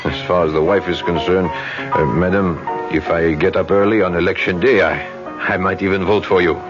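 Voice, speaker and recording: A man's voice: sits low, fairly even intonation, normal speed, close to an everyday voice. An actor playing a chatty restaurant owner who keeps chuckling as he tells his story, in a 1970s radio drama. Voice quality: chuckles often while telling his story. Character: chatty, chuckling small-town restaurant owner, older man. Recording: muffled vintage radio broadcast with music.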